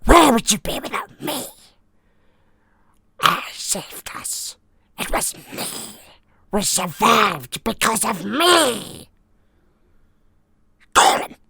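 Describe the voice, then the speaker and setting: A man voicing a wretched, split-minded cave creature with a raspy, hissing, creaky voice, by turns wheedling and spiteful. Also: high voice, big pitch swings, pushed and bright, no echo, clean studio sound, heavily acted. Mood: spiteful, scheming. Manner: slow muttering, swinging between whimper and shriek.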